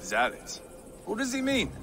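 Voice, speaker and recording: A brash, grimly joking Viking warlord, whose pitch swings wildly from low growls up to sudden high jabs. Soft music sits behind him. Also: male voice, wildly swooping pitch, measured pace, heavily acted. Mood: sardonic. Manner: sardonic, mocking humor. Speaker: game character.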